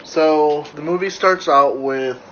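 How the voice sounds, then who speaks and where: Dude hosting a chatty movie review, kinda excited, lo-fi sound w some background noise. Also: male voice, on the high side, slow pace. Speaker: host of a movie review channel. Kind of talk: casual movie review chat. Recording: muffled lo-fi, noticeable background noise.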